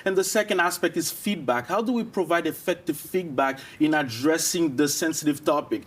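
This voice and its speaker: Earnest high-voiced man from Haiti. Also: a little fast. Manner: earnest, encouraging, lists points. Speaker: workplace speaker, guest. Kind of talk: TV morning show interview.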